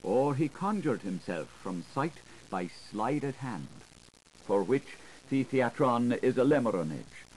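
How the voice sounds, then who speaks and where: A man narrating a literary audiobook in a theatrical, deliberate, incantatory manner, playful with the wordplay, on a 1980s recording. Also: mid-range voice, big pitch swings, darkish tone, slight background noise, low-quality recording.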